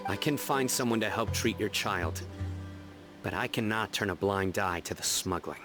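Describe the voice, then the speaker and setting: An actor playing a stern, composed young investigator in a video game, measured and serious. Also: male voice, on the low side, lightly acted. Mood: resolute. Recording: game music behind.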